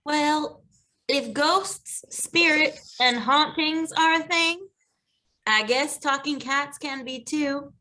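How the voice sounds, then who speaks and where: A woman playing an earnest, anxious orphaned young woman in an animated comedy, with emotional delivery. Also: sits high, slow pace, exaggerated voice, rough recording. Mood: bewildered. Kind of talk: animated comedy table read.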